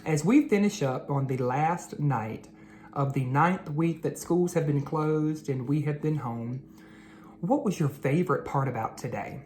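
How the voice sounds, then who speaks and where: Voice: mid-range voice, unhurried, darkish tone. A read-aloud host narrating storybooks and talking to children in an encouraging, teacherly way.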